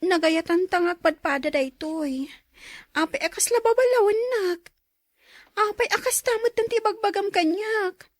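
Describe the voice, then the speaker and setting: A woman's voice: very high-pitched, normal speed, no room sound, clean studio sound. An actress playing a desperate, indignant young woman in a radio drama, with a Filipino accent.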